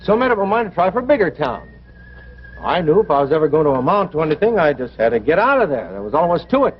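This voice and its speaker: An actor playing a regretful middle-aged racetrack man, narrating his youth in a folksy, rambling, halting way in a 1950s television drama. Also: fairly high pitch, very animated, slightly rough. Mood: reminiscent. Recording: dull old TV soundtrack, noisy.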